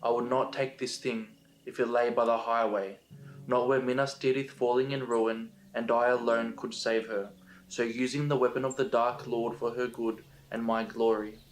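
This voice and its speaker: A man's voice: mid-range voice, level tone, moderate pace, close to an everyday voice, poor audio. A man reads as a proud male captain, grave and earnest, in a level voice that barely rises or falls. A faint hiss runs behind this storybook reading.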